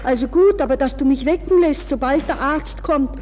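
An actress playing an alarmed, fussy middle-aged aunt, loud and agitated, in a 1960s radio play. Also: female voice, high-pitched, normal speed, lightly acted. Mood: anxious, bossy. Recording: reverberant 1960s radio recording.

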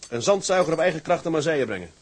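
A man's voice: a little high, lively intonation, rapid-fire. An actor playing a weary, earnest, fatherly merchant sea captain in a Dutch radio drama. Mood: brisk, friendly.

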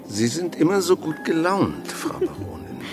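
An actor playing a reserved, gruff country pastor in a German radio play, terse and restrained. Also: male voice, on the high side, sing-song, moderate pace. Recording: radio drama, loud music and effects behind.

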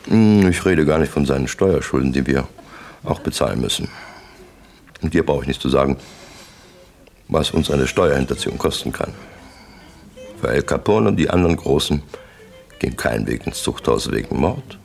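A refined, menacing gangster boss with an extremely low voice and heavy creak. He lectures in deliberate, clipped phrases with wide swings in pitch, cold and pompous, in a radio crime drama with faint music. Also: expressive, a little mellow, full character voice. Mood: lecturing, disdainful. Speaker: actor.

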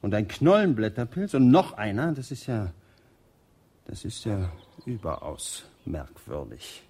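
An actor playing a courteous, dutiful butler in a German radio drama, speaking formally and deferentially. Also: male voice, lowish voice, wildly swooping pitch, unhurried, warm tone, breathy edge, heavily acted. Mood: puzzled muttering.

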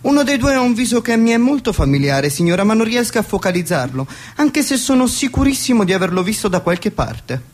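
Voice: mid-range voice, big pitch swings. A performer playing a dutiful male butler in a 1920s radio comedy, formal and deferential in manner. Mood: formal, reporting. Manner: formal, deferential, measured announcements. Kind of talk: radio play acting of a 1920s stage comedy.

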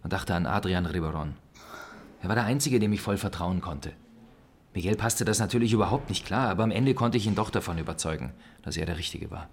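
A defiant, cynical ex-convict in a radio crime drama. His voice is very low and crackles with gravelly creak. He explains himself tersely, like someone under suspicion. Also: a little fast. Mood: guarded confession.

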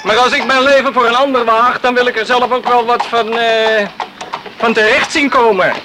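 A man's voice: extremely high pitch, fairly even intonation, moderate pace. An actor playing an eager, plain-spoken island lifeboatman in a radio play, arguing his case emphatically. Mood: eager, defensive. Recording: noisy radio play recording, outdoor sound effects.

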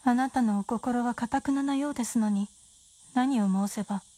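High-voiced genteel wife played by an actress. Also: female voice, normal speed, dark tone, close to an everyday voice. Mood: cool, defiant. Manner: refined, formal, poised. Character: genteel, coolly self-possessed, teasing wife of a doctor. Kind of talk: Japanese period radio drama dialogue.

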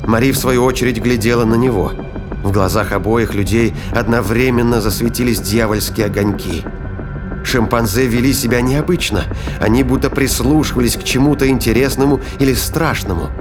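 A man giving scripted dramatic narration of a science fiction thriller in a deliberate, suspenseful manner. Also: medium pitch. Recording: music behind the voice.

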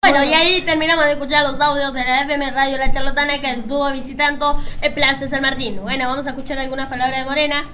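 A woman's voice: sits high, flat delivery, fast talker, few pauses, bright, forceful tone. A woman with an Argentine accent presenting casually as a radio host.

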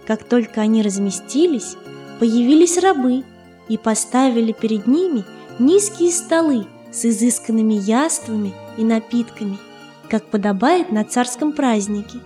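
A woman reads a children's story in a high, mellow voice. Her delivery is measured and expressive, in the style of a literary audiobook. Faint music plays behind the narration.

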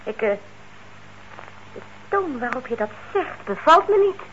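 An actress playing a suspicious, protective grown daughter, prim and interrogating, in a Dutch radio play. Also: female voice, high-pitched, very animated, a little slow, slight background noise. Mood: indignant. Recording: dull old radio recording.

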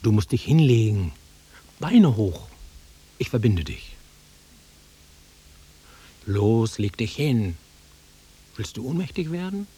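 An actor playing a volatile, nostalgic country-bred man in a German radio drama, brooding and taunting, with a rough husky voice that swings from muttering to outbursts. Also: medium pitch, big pitch swings, slow, pauses often, dark tone, exaggerated voice. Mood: urgent, commanding.

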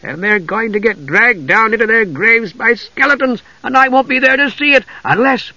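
A male narrator voicing a cheeky, chattering small male kender with a high, excitable voice. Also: moderate pace, breathy edge, no room sound, highs cut off, exaggerated voice. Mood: eager.

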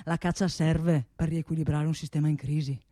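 Radio theatre: actress as blustering, restless male industrialist, soft dark tone, dry close sound, bossy and repetitive. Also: low voice, flat delivery, fairly quick, dry recording, natural voice. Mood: boastful. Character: blustering wealthy male gun-factory boss, provincial, restless. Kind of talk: solo stage monologue for radio theatre.

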